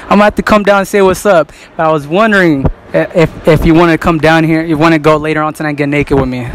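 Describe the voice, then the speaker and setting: A man's voice: a little high, fairly even intonation, quick pace, a little hiss or hum. A young man running a prank street interview in a shopping mall, talking into a handheld mic with cocky slangy banter and frequent laughs. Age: young adult. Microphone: handheld mic held near mouth.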